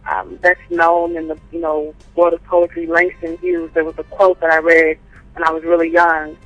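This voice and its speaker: Bright, thoughtful woman guest poet. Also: fairly low pitch, little pitch movement, no room sound, highs cut off, faint music behind. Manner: thoughtful, with frequent pauses and fillers. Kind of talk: phone-in interview answers. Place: calling in from home by phone.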